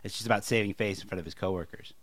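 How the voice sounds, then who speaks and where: A man co-hosting a podcast, discussing a TV show casually in a measured, analytical way. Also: sits low, a bit bright, no echo. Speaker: podcast co-host.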